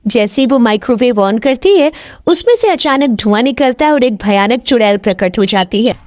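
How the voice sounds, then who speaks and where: A high-voiced woman narrates a horror cartoon. Her scripted delivery is very animated in pitch, and the recording is dry and close. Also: normal speed, dry recording.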